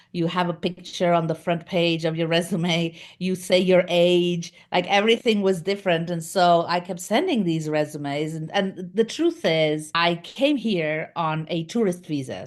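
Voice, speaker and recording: An older woman from Argentina, a guest telling her life story in a chatty, rambling way with many fillers. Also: on the low side. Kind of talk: casual interview storytelling.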